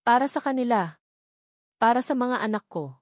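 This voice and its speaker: A woman's voice: on the high side, expressive, moderate pace, no room sound, studio quality. A woman with a Filipino accent narrates a scripted first-person romance story in an emotive way, setting short phrases apart for drama.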